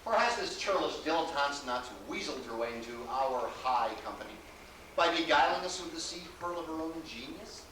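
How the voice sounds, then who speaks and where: A man's voice: a little high, animated, brisk, sharp tone. Echoey, pompous, mocking orator, played by a man. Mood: mock-solemn, scornful. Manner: grandiloquent, declamatory. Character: pompous, florid, mocking orator giving a toast. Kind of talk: theatrical monologue. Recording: very echoey hall from far off, faint noise.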